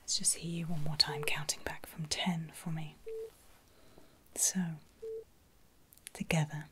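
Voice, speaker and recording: A woman speaking in a soft, breathy whisper, gently reassuring the listener as a medical carer in an ASMR roleplay. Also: sits low, level tone, unhurried.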